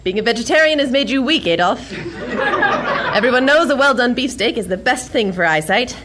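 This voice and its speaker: A sardonic, brash young American heroine played by a woman, full of cocky patriotism. Her voice sits fairly high and has an American accent. It is performed as old-time radio theater on a clearly noisy recording. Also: animated, normal speed, a loud crowd, close to an everyday voice. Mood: taunting. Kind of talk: 1940s-style radio theater acting.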